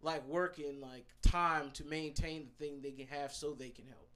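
A man's voice: on the high side, steady tone, moderate pace, sharp tone. A man, talking through an opinion with many restarts in a casual podcast chat. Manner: hesitant, restarts while reasoning. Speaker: podcast co-host.

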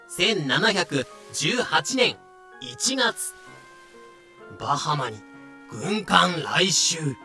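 A man's voice: sits high, sharp tone. A man narrating a scripted audio drama in a clipped, announcing manner. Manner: clipped, announcing dates and titles.

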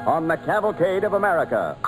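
A man's voice: fairly high pitch, big pitch swings, a little fast, loud music behind the voice. A man announcing a 1940s radio program in a grand, formal manner. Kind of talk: 1940s radio show introduction.

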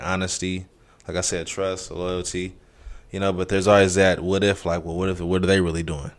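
A man in his thirties co-hosting a casual podcast, talking in a relaxed, reflective way with frequent "you know". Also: deep voice. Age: 30s. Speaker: podcast co-host. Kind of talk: casual chat.

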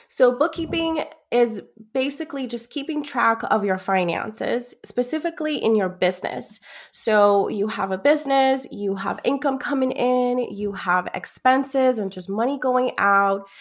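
A woman's voice: fairly high pitch, a little slow. Eager woman from Ecuador explaining. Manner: eager, explains step by step with examples. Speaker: podcast guest, bookkeeper. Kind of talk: explanatory interview talk.

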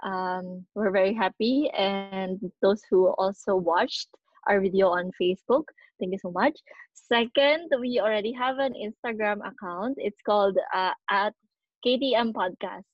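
A woman's voice: medium pitch, a little slow, no echo, lo-fi sound. A Filipino woman in her thirties co-hosting a podcast, chatting casually and telling stories with frequent ums and laughs. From Philippines. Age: 30s. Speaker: podcast co-host. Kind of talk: casual chat mixing English and Tagalog.

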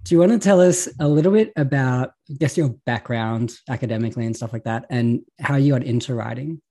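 Mellow, husky Australian man. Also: mid-range voice, fairly quick. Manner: easygoing, joking, uses "like" often. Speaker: interviewer. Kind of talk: casual interview chat.

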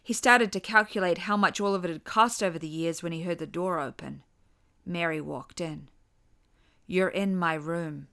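Low-pitched woman narrating a dramatic story. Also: normal speed, gravelly, pushed and bright. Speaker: author and narrator.

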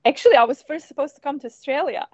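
A woman in her thirties from Croatia with a Croatian accent, a winemaker chatting in an interview, eager and halting with many ums. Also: sits high, darkish tone, dry recording. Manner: hesitant, many ums, enthusiastic. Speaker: winemaker guest. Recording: dull, muffled sound.

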